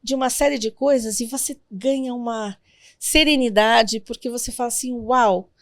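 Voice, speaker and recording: A Brazilian woman in her fifties, a guest in an interview, telling family stories in a reflective, gentle way. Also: fairly high pitch, normal speed, no echo, clean studio sound. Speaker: guest, sworn translator. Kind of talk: interview conversation.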